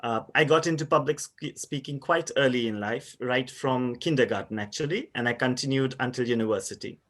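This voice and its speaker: Measured Indian man presenting research. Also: mid-range voice, fairly bright tone. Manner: measured, explanatory, with frequent fillers. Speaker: university lecturer presenting research. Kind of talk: conference presentation.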